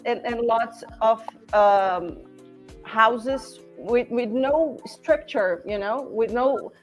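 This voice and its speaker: A Brazilian woman in her forties speaks English with a Portuguese accent, her voice pitched a bit high. She talks very slowly, stopping and restarting as she hunts for the right English words. Faint music sits in the background. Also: dull audio. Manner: halting, searching for English words, many restarts. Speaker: podcast guest, former classmate. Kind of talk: casual conversation.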